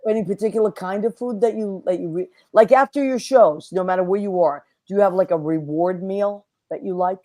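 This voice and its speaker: A woman hosting a casual online talk show, whose first language is Italian, chatting and giving friendly shout-outs with plenty of fillers. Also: medium pitch, brisk, few pauses. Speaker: host. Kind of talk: casual chat.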